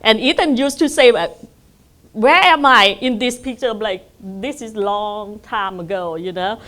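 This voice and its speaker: A middle-aged woman from northeastern Thailand with a Thai accent, giving an informal presentation to an audience in a halting way, with many fillers. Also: mid-range voice, fairly bright tone. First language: Thai (Isan dialect). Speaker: guest speaker. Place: community center event room.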